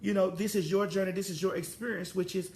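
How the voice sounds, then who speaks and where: A woman's voice: medium pitch, steady tone, fast talker, a little mellow, slight background noise. A woman in her forties hosting a casual talk show, profane and preachy, drawling out her stories. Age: 40s. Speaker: host.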